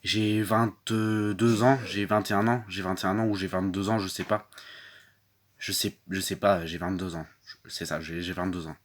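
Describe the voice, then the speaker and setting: A man voicing a young battered wife who speaks softly and haltingly, repeating herself and trailing off. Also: deep voice, fairly even intonation, natural voice. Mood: downcast.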